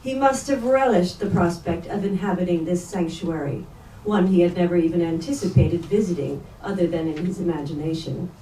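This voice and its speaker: A woman's voice: fairly low pitch, fairly quick, warm tone. A woman reading literary prose aloud as a reader at a staged book reading. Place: bookstore event space. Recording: echoey room, distant mic, faint noise.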